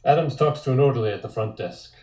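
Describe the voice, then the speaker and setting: A male narrator reads stage directions in a deliberate, descriptive way. His voice is relaxed and medium in pitch. The room adds a noticeable echo. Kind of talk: scripted narration at a table read. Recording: echoey room sound.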